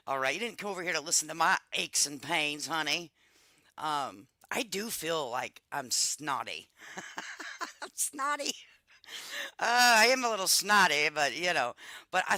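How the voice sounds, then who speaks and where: A woman hosting a casual livestream, congested and a bit raspy from a cold, chatting to her viewers like friends. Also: low voice, bright, forceful tone, no echo. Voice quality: raspier than usual. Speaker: livestream host. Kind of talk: casual reaction livestream chat.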